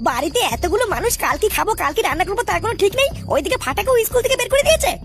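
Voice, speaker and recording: Shrill-pitched nagging Bengali cartoon granny. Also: female voice, sing-song, racing, soft background music, heavily acted. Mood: furious, bitter. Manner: scolding, sarcastic, ranting. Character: furious, nagging old Bengali grandmother, bitter wife. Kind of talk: Bengali comedy cartoon dialogue.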